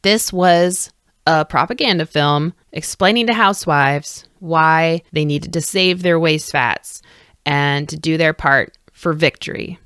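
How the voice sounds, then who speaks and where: A woman's voice: low voice, a little slow, fairly bright tone, smooth, dry recording, clean studio sound. A woman hosting a podcast, narrating casually and enthusiastically. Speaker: podcast host.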